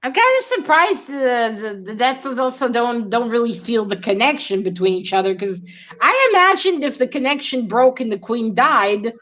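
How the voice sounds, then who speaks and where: Woman chatting about books, slightly high voice. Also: expressive, moderate pace, fairly bright tone, low-quality recording. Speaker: read-along book discussion participant. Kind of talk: casual book chat.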